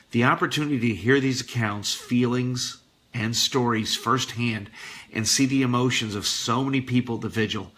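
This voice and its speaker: A man's voice: fairly low pitch, bright, forceful tone. A man hosting a radio show, reading a listener's letter aloud expressively with the odd aside.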